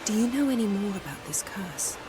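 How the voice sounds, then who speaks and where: An actress playing a haughty, sardonic sorceress in a video game, speaking in an imperious, articulate way. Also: female voice, medium pitch, animated, a little fast, noisy background, natural voice. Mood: inquisitive, businesslike. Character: haughty, sardonic sorceress, poised adult woman. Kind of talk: video game cutscene acting.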